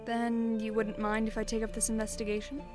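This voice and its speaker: A woman's voice: fairly high pitch, monotone, brisk, over music, lightly acted. A woman playing an assertive army sergeant and investigator in a fantasy audio drama, matter-of-fact and authoritative.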